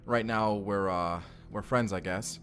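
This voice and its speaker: A man's voice: lowish voice, a little slow, fairly bright tone, soft background music. A man playing a bewildered, apologetic reluctant recruit in a time-travel drama, speaking informally and hesitantly. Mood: sheepish, apologetic. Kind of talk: cinematic audio roleplay acting.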